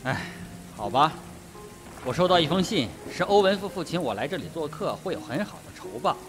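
Cavalier colonel, slightly high voice. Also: male voice, sing-song, a little fast, music in the background. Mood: cool, wry. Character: cool, cavalier male colonel and adventurer. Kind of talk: radio drama acting.

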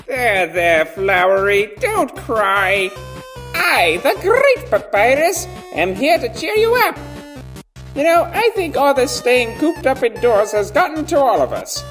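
A woman's voice: fairly high pitch, sing-song, takes it slowly. A woman voicing a cheerful, kind-hearted, pompous male skeleton in a cartoon dub, earnest and theatrical. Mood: encouraging. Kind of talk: fan comic dub voice acting. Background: music.

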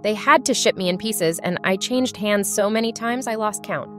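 A woman's voice: medium pitch, moderate pace, fairly bright tone, soft background music, close to an everyday voice. Melancholy woman voicing a war-scarred living warplane. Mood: sorrowful recounting. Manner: earnest, melancholy, confessional. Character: ashamed, battle-scarred female warplane, sorrowful and self-loathing. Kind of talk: scripted character drama.

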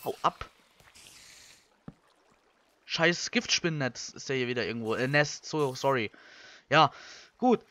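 A young man from Germany, giving casual Let's Play commentary and thinking out loud in colloquial language. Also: medium pitch, expressive, unhurried, long pauses. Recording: slightly dull, lo-fi microphone.

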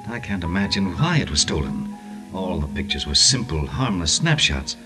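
An actor playing an anxious, well-mannered gentleman in 1940s radio drama, formal, earnest and hesitant. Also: low-pitched, very animated, normal speed, music in the background. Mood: agitated.

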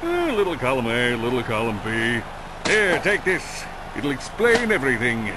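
A man's voice: mid-range voice, huge pitch swings, normal speed, background noise. A man voicing a vain, cowardly alien superhero in a video game, in a bombastic, melodramatic announcer manner. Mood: sheepish. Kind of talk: video game cutscene comic acting.

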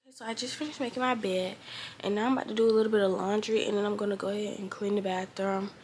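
Teen girl vlogger, just woke up, raspy and unhurried, phone held close in her bedroom. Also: mid-range voice, steady tone, a little mellow, a little hiss or hum. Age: teens. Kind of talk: casual morning vlog talk. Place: bedroom, indoors. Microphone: phone held close at arm's length.

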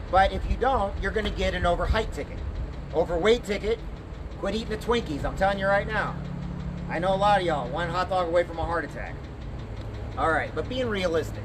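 Young man pitching outdoors, traffic behind. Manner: conversational, frequent "okay?" check-ins. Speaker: legal plan presenter. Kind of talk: informal sales pitch to camera. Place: outdoor parking lot beside a truck.

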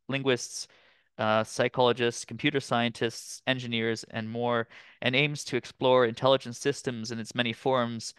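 A man from Canada in his thirties giving a relaxed, chatty academic presentation over a video call, with frequent fillers. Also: mid-range voice, clean tone, no echo. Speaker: guest lecturer. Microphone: computer mic on video call.

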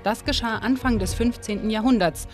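A woman giving calm, measured scripted narration for a documentary. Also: a little high. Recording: studio voice-over with clear music underneath.

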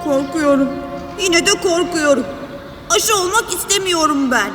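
A woman's voice: extremely high pitch, lively intonation, a little slow. A woman voicing a fearful, stubborn young boy in a children's cartoon, whining anxiously. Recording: music behind the voice.

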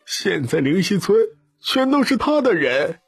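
A man's voice: high voice, big pitch swings, unhurried, gravelly, slightly rough, soft background music, exaggerated voice. A man voicing an anxious, servile village chief in an audiobook, complaining and pleading in an agitated manner. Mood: aggrieved, complaining. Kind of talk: audiobook character dialogue.

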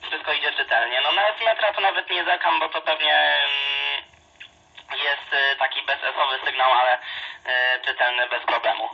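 A man's voice: medium pitch, steady tone, moderate pace, gravelly. A man chatting casually and a bit hesitantly as an amateur radio operator, heard through a two-way radio. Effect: two-way radio transmission. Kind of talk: casual ham radio contact. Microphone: phone recording a handheld radio's speaker. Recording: dull radio speaker recorded by phone.

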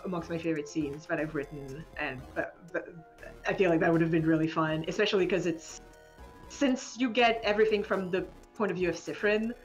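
A woman game developer chatting casually as an interview guest, rambling in an animated, giggly, hesitant way. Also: lowish voice, brisk, faint music behind. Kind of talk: casual spoken interview chat.

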